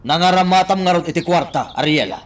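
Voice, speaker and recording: A man's voice: high-pitched, fast, distant mic, natural voice. A man playing an exasperated, reproachful partner who scolds in an Ilocano radio drama. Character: reproachful, exasperated partner demanding a fair share.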